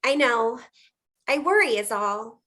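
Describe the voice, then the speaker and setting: An earnest young office girl played by a woman in a noir stage piece. Her voice is high and swings widely in pitch. She is polite and fusses with concern over her boss. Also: animated, slow, pushed and bright, full character voice. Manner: polite, solicitous. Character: earnest, worrying young female detective's assistant. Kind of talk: film noir stage dialogue.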